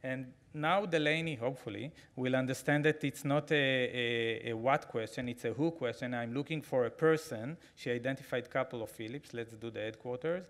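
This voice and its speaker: A man in his forties with an Israeli accent, giving a keynote talk with a live demo from a podium microphone, halting with frequent fillers and restarts. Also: medium pitch, a bit bright. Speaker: conference keynote speaker. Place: large conference hall, at a podium.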